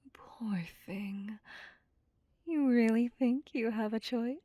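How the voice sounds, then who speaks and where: A woman's voice: on the high side, slow pace, dark tone, no echo, clean studio sound. A woman voicing a possessive, dominant vampire seductress in an intimate ASMR roleplay, teasing and commanding as she drifts into whispers. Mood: smug, whispering. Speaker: voice actor.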